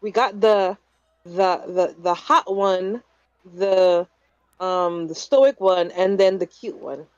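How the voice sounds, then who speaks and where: A woman's voice: on the low side, slow, darkish tone, clear, resonant tone, no echo, highs cut off. A woman chatting casually as a co-host commenting on a game stream.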